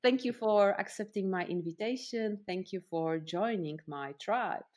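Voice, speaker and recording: Woman, Polish accent, unhurried pace, friendly and playful, podcast host. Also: mid-range voice, a little mellow. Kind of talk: conversational interview.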